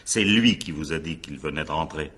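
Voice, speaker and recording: An actor playing an impatient, businesslike examining magistrate in a French radio mystery, putting curt official questions and often cutting in. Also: male voice, extremely low pitch, brisk, fairly bright tone, breathy edge, heavily acted. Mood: probing, brisk.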